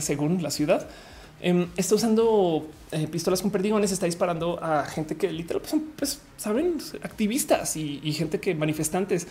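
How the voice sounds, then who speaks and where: Low, mellow-voiced woman hosting a livestream. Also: slight background noise. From Colombia. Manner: rambling, full of fillers and restarts. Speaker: live show host. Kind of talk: casual commentary on a livestream. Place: lives in Mexico.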